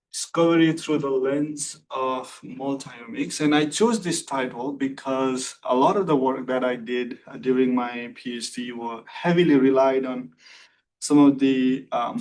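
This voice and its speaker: A man's voice: medium pitch, darkish tone, far from the mic, low-quality recording. Earnest young man from Nepal presenting. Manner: earnest, measured, frequent uh fillers. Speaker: PhD candidate presenting thesis defense. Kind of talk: formal academic presentation.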